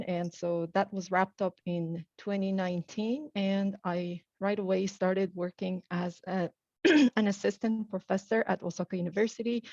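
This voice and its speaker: A woman from Iran, a university English lecturer, introducing herself on a video call in a clear, orderly way with light hesitations. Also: medium pitch, darkish tone, no room sound, dull audio.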